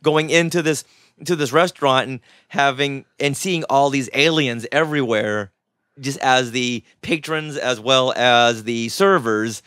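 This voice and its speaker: A man's voice: mid-range voice, measured pace, a bit bright, no room sound, studio quality. A man co-hosting a casual panel chat with a jokey, enthusiastic manner. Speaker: podcast co-host.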